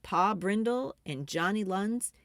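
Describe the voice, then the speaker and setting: A woman reading a children's book aloud expressively, with dramatic emphasis and drawn-out key words. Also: mid-range voice, animated, no room sound, clean studio sound. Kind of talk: expressive storytime read-aloud.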